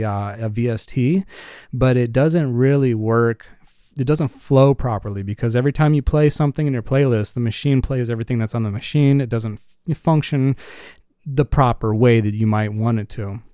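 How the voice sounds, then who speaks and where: A man's voice: mid-range voice, warm tone, smooth, no echo. A man hosting a casual solo podcast from his home studio, rambling with many fillers. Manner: rambling, full of "you know" fillers. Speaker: podcast host and music producer. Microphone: studio condenser microphone.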